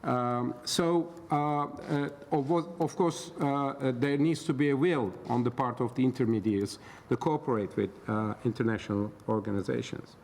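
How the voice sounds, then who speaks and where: A middle-aged man from Turkey giving a conference talk as an expert panel speaker, hesitant and full of filler pauses. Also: medium pitch, fairly even intonation, darkish tone. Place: conference hall. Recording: roomy hall reverb, faint background noise.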